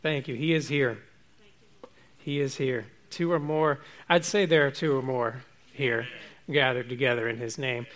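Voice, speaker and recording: A man preaching a relaxed, informal sermon to his congregation, chatty and self-joking. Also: medium pitch.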